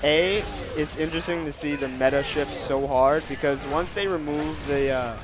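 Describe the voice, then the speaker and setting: A man doing casual stream commentary for a fighting game event, reading viewer questions and answering them. His voice is nasal, and he tries to keep it lower than usual. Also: steady tone, moderate pace, poor audio.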